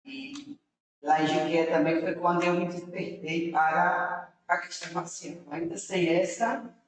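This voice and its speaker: A woman's voice: lowish voice, slight background noise. A woman from interior Bahia, a city councilor guest telling her story to young people in an earnest, rambling way. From interior of Bahia, Brazil. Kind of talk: casual storytelling to a young audience. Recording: very echoey room, far from mic.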